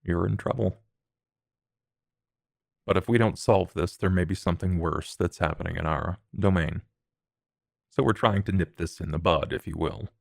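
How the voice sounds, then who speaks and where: A man voicing a composed, formal male vampire who speaks with measured, polite phrasing. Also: sits low, vocal fry, no room sound, studio quality.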